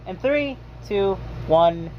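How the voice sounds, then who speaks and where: A man's voice: high-pitched, expressive, slow, a little hiss or hum. Rambling young-sounding man, muffled lo-fi mic. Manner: rambling, hesitant, many fillers. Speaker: reaction video host. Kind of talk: casual rambling commentary. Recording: dull lo-fi mic.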